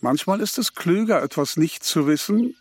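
A man's voice: fairly high pitch, lively intonation, moderate pace, breathy edge, dry recording. A man playing Good Advice come to life, a pompous, touchy, ponderous figure in a children's radio play. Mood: offended, dignified. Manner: ponderous, pedantic, riddling.

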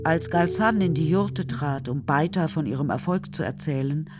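A woman with a low voice narrates a children's radio drama. She reads the scripted story in a measured storytelling way, with faint music behind her. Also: expressive.

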